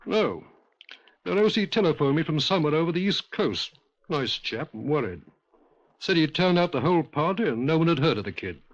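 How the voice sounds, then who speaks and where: Gruff, blunt British country policeman. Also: a little high, very animated, a little fast. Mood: gruff, worried. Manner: blunt, clipped, colloquial. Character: gruff, worried village police station superintendent. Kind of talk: 1950s radio drama acting. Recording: muffled vintage 1950s radio recording.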